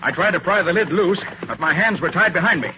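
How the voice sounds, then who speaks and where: A hissy, dull old radio recording carries a sharp-witted master detective. He is a man with a slightly high voice who talks rapidly and with confidence, laying things out like a seasoned radio-drama lead. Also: animated. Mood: urgent, recounting. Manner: brisk, assured explaining.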